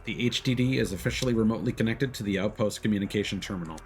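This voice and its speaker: A man's voice: fairly low pitch, rapid-fire, a little hiss or hum. A man streaming a video game, commenting live and reading on-screen text aloud.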